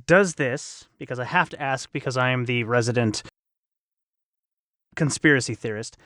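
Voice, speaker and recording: A man's voice: medium pitch, normal speed, fairly bright tone, no room sound. Man, podcast host. Conversational and keen, wanders through questions, dry studio-tight sound. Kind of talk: casual chat.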